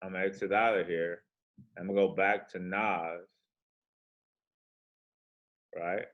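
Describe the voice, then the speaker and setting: A man with a very deep, low voice and a soothing tone talks through charts in a relaxed, slangy way. He drifts into rambling asides as he goes, easygoing and conversational. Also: slow pace, lo-fi sound. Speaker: forex trading host. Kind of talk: casual chart walkthrough.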